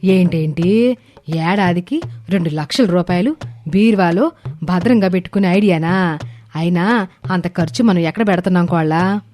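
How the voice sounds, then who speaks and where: A woman's voice: lowish voice, normal speed, long pauses, a little mellow, faint music behind, lightly acted. A woman voicing an irritable older mother-in-law in a story, grumbling with a scolding, sarcastic manner. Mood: incredulous. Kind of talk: animated moral story dialogue.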